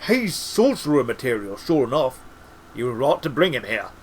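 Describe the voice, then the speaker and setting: A man voicing a senior wizard in a storybook, earnest, weary and muddled, halting as he searches for words. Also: mid-range voice, big pitch swings, slight background noise, close to an everyday voice.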